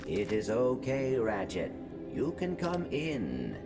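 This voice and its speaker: An actor playing a small, polite, earnest robot sidekick in a game cutscene, speaking formally and carefully. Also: male voice, medium pitch, huge pitch swings, takes it slowly, full character voice. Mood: worried, urging. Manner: formal, careful wording without contractions. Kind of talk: video game cutscene acting. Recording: music behind the voice.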